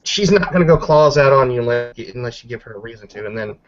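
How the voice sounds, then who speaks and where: Low-voiced man in dull lo-fi audio. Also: animated, fast. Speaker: player narrating a character's combat moves. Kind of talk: casual tabletop roleplay narration. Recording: dull lo-fi voice recording.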